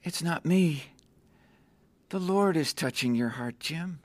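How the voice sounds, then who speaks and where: Radio drama part: fervent young convert, deliberate scripture delivery, very dry close sound. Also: male voice, a little high, a little mellow, dry recording. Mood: fervent, gentle urging. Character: earnest, newly saved young man preaching scripture.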